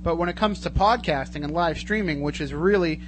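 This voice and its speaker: A man's voice: a little high, fairly quick. A man hosting a talk radio show, speaking casually as he interviews a guest. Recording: noisy radio broadcast with hum.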